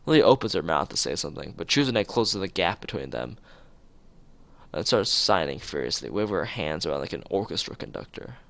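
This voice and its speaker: A man's voice: low-pitched, very animated, brisk, vocal fry, a bit bright. A man streaming a visual novel, reading the text aloud and making casual asides.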